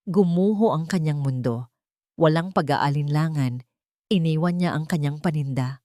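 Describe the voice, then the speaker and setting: A woman's voice: on the low side, darkish tone, no room sound, clean studio sound. A woman with a Filipino accent reading a scripted dramatic story as a storyteller.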